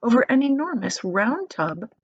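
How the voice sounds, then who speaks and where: A woman reading a children's book aloud as an expressive storyteller. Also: on the high side, moderate pace, slightly rough, dull audio.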